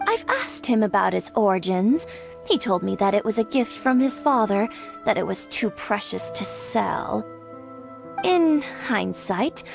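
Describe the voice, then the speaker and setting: A sly mercenary merchant. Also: female voice, a little high, full character voice. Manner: sly, smooth-talking. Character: shrewd, mercenary woman merchant who sells information. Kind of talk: video game voice acting. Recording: game score behind.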